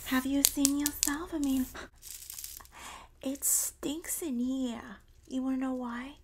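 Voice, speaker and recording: A woman playing a sassy, supportive Jersey girl in an ASMR roleplay, speaking softly and often whispering in a breathy, doting, gossipy way with a New Jersey accent. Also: sits high, steady tone, full character voice. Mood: gossipy, indignant. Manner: slow, gossipy, doting, dramatic emphasis.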